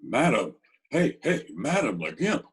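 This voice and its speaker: An actor playing a tough, blunt but helpful New gangster in an old-time radio drama. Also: male voice, mid-range voice, very animated, a little slow, full character voice. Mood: urgent, insistent. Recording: slightly rough remote video-call audio.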